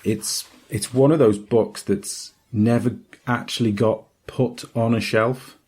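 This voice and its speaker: A man's voice: medium pitch, steady tone, slow pace, a little mellow, slightly rough. A man podcast host giving a casual solo recommendation, enthusiastic but tentative in his phrasing. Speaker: podcast host reviewing comics.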